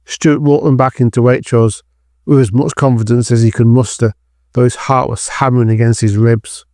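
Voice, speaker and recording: A man's voice: mid-range voice, steady tone, normal speed, darkish tone, no room sound, clean studio sound. A man reading scripted narration for an audio drama as its narrator.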